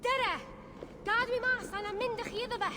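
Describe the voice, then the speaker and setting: A small, excitable creature, calls out urgently in an extremely high, shrill voice. It sounds frantic, like a fantasy game character, with loud noise all over the recording. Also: female voice, brisk. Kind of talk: dramatic video game voice acting.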